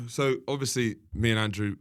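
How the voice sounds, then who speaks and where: A man in casual conversation as a podcast guest and comedian, wry and bantering, quick to laugh. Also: mid-range voice.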